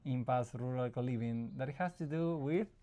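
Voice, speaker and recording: A man from Argentina, a psychologist guest, talking in a relaxed interview, thoughtful and often pausing to find his words. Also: mid-range voice, a little hiss or hum. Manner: thoughtful, searching for words, frequent restarts, laughs easily. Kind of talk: casual conversational interview.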